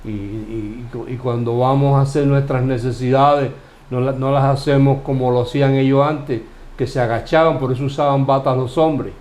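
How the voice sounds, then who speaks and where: Cuban guy doing a bible study chat, asks questions, lots of restarts, middle pitch. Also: steady tone, normal speed, a little mellow. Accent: Cuban Spanish. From Cuba. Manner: questioning, explanatory, frequent restarts. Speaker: Bible teacher.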